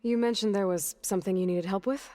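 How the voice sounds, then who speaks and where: An actress playing a practical, quietly determined young woman warrior in a video game, speaking briefly and plainly. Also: mid-range voice, fast talker, close to an everyday voice. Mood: calm, inquiring. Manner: plain-spoken, brief, probing. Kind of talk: video game cutscene dialogue.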